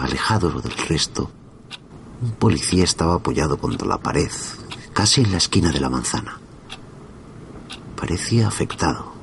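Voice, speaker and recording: A man from narrating a first-person horror story in a slow, hushed, suspenseful manner. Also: very deep voice, gravelly, a little hiss or hum.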